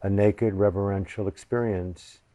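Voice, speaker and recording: Male meditation teacher, low and warm, very slow and reverent, long pauses. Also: fairly even intonation, no room sound. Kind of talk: guided meditation.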